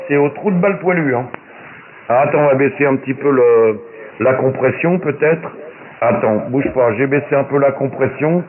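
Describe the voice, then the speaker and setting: A man chatting casually over amateur shortwave radio, speaking in a slangy, crude and hesitant way. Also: mid-range voice. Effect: shortwave ham radio transmission. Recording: narrow-band shortwave radio with faint static.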